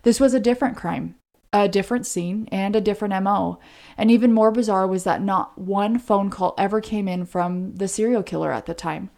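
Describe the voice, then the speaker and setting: A woman's voice: mid-range voice, brisk, gravelly, darkish tone. A woman co-hosting a podcast, narrating true crime in an informal way with chatty asides. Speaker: podcast co-host.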